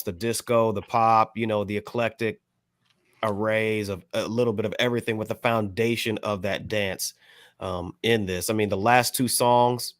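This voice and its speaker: An American man on a music podcast panel, giving a casual review in a measured, analytical, wordy manner. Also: lowish voice, fairly bright tone, no echo, studio quality.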